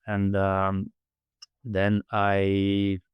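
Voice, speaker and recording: A man with a low, smooth voice and a flat, even delivery, recorded close and dry. He talks reflectively in an interview, pausing and restarting as he searches for words. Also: no room sound, muffled recording. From Latvia. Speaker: podcast guest, endurance athlete and heart rate expert.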